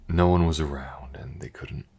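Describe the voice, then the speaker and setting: A man playing a weary, grieving man in an intimate audio drama, speaking in a hushed, halting, confiding way with a gravelly, husky voice. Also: rumbling low, fairly even intonation, full character voice. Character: weary, grieving man haunted by his murdered loved one. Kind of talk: intimate audio roleplay drama addressed to the listener.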